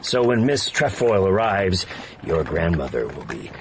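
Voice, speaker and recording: An actor playing an inquisitive American senator visiting England, asking probing questions in a radio drama. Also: male voice, low voice, big pitch swings, measured pace, noisy background. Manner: curious, probing questions, dry remarks. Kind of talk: BBC radio drama acting.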